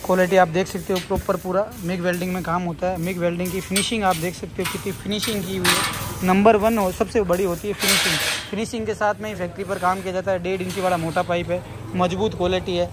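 A man giving an improvised, repetitive promotional pitch for his factory's products in running sales patter. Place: welding workshop at a factory. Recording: noisy workshop with hiss and machinery.

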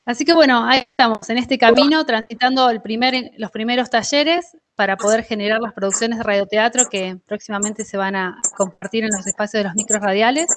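A woman's voice: fairly high pitch, fairly quick, hardly any pauses, a bit bright. Argentine woman presenting on a video call. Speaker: education programme presenter. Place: indoor room at home.

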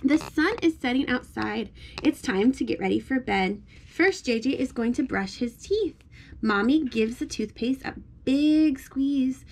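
A woman with a fairly high voice reads a bedtime picture book to a little one. She speaks in simple, repeated phrases with a sing-song lilt, encouraging the child along as a parent would. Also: expressive, measured pace, a little mellow. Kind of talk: child-directed storybook read-aloud. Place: indoors at home, on a blanket.

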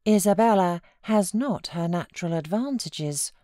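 A woman's voice: lowish voice, expressive, unhurried, mellow, no room sound, clean studio sound. A British woman voicing a genteel, fussy young wife who gives orders, in a storybook reading.

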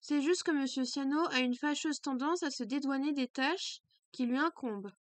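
A woman's voice: high voice, normal speed, no room sound, close to an everyday voice. A woman voicing a prim, strict schoolgirl student with formal, precise diction.

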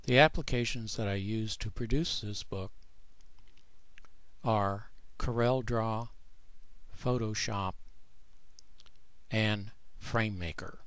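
A man narrating a screen tutorial as the author, explaining plainly in a measured way. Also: fairly low pitch, a bit bright, no room sound. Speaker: author presenting his own book.

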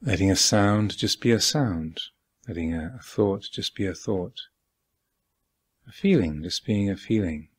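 A man with a husky voice guiding a meditation as a teacher, speaking calmly and deliberately with long pauses. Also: low-pitched. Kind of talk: guided meditation instruction. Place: meditation hall at a retreat.

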